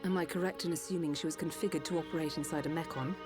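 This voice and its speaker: An actress playing a calm, precise female Machina doctor explaining a treatment. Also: low-pitched, very fast, natural voice. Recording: game cutscene with music.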